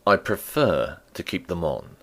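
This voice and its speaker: A man's voice: low voice, very animated, unhurried, pitched below the performer's own voice, exaggerated voice. A man voicing a terse, secretive male stranger in a dramatic audiobook reading, speaking emphatically. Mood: curt, cold. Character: curt, secretive stranger, a man muffled against cold.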